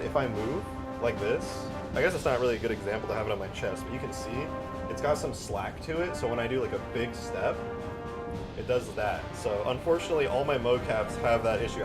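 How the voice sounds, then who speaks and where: A man livestreaming as a game modder, chatting casually in a rambling, jokey way as he answers viewers. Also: medium pitch. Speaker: live streamer and game modder.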